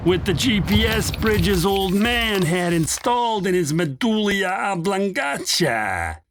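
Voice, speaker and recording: An actor playing a cocky, callous street thug in a radio drama, brash and slangy. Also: male voice, high-pitched, big pitch swings, slow pace, very noisy, full character voice. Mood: cocky, gleeful. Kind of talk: cinematic radio drama acting. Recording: loud music under the voice.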